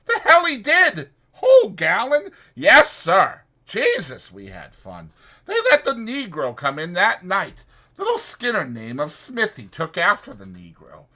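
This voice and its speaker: A man's voice: high voice, wildly swooping pitch, measured pace, bright, forceful tone, voice pushed up, exaggerated voice. A man voicing a chatty old ranch swamper with rambling, folksy speech for a dramatized audiobook. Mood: excited, gossipy.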